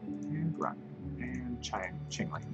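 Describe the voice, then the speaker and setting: A man's voice: mid-range voice, animated, takes it slowly, muffled recording. A man in his twenties, a streamer chatting casually and joking at his own expense while he plays. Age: 20s. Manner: rambling, self-mocking, chatty. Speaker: Twitch streamer. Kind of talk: casual gameplay commentary. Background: game music.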